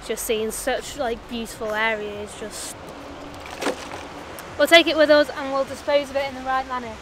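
A woman's voice: sits high, fairly even intonation, moderate pace. A young woman vlogging outdoors on a rocky shore, chatting thoughtfully into a camera held at arm's length. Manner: thoughtful, chatty, trailing restarts. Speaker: travel vlogger. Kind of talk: casual reflective vlog chat. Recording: loud surf and wind noise. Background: crashing waves.

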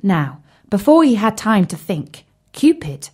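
A woman's voice: medium pitch, sing-song, unhurried, darkish tone, breathy edge. A woman narrating a scripted children's story expressively, addressing young listeners directly.

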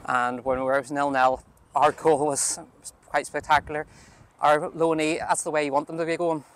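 A man's voice: a little high, little pitch movement, normal speed, a bit bright. A man putting friendly, conversational post-match questions as a club media interviewer.

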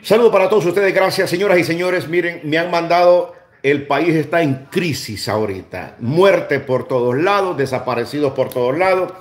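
A man's voice: fairly high pitch, animated, normal speed. A middle-aged man with a Salvadoran accent, chatting casually as a livestream host and repeating phrases for emphasis. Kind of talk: casual livestream chat and commentary. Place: small room indoors. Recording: roomy indoor echo.

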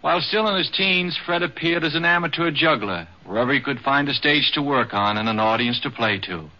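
A man's voice: mid-range voice, animated, brisk. A man reading scripted documentary narration as the narrator of a radio program. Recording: dull 1950s radio broadcast.

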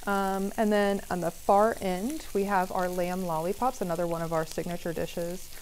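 A woman's voice: on the low side, fairly even intonation, normal speed, darkish tone, rough recording. Young woman demonstrating food over sizzle. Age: young adult. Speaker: restaurant assistant manager demonstrating a dish. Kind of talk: casual live cooking demonstration. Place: TV studio kitchen, indoors. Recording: clear sizzling pan noise. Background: frying and sizzling.

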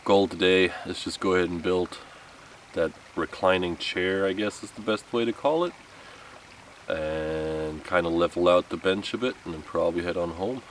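A man's voice: sits low, moderate pace. A man in his thirties talking casually and unhurriedly to camera as a camping vlogger, rambling a little and poking fun at himself. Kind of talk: casual vlog talk to camera. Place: outdoor wooded campsite. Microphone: camera a few metres away. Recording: distant mic with outdoor background noise.

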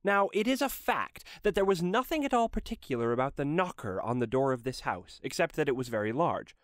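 A man's voice: on the high side, big pitch swings, fairly quick, no echo, clean studio sound. A man giving a dramatic scripted narration of a classic story.

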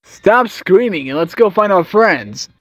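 A woman's voice: mid-range voice, a little slow, no echo. A woman voicing a brash, playful, cocky young male blue hedgehog hero in a cartoon comic dub. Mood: annoyed. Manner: cheeky, animated.

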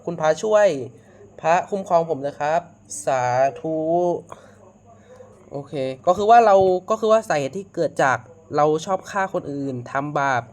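A man hosting a casual reaction video, politely addressing viewers as friends in a halting, repetitive way with frequent exclamations. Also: on the high side. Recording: noisy amateur home recording.

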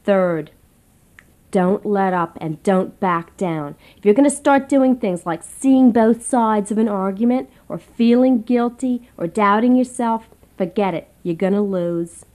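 A woman's voice: medium pitch, unhurried, darkish tone, lightly acted. An actress playing a breezy, callous woman leading a self-help seminar in a satirical radio comedy, glib and slangy. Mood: glib, instructive.

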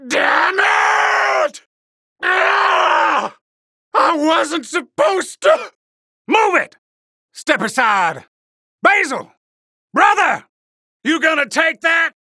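A male actor playing a vicious, cocky wolf-man poison fighter in a video game, taunting in a harsh, gravelly voice. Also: very high voice, animated, drawn-out words, pauses often, no echo, studio quality, full character voice. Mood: agitated, aggressive. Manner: cocky, sneering, shouted battle taunts. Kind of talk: video game battle voice lines.

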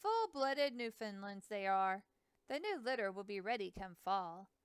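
A woman's voice: medium pitch, big pitch swings, a little slow, smooth, no echo, studio quality. A woman voicing a plainspoken, proud male river dog breeder with a folksy way of talking. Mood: friendly.

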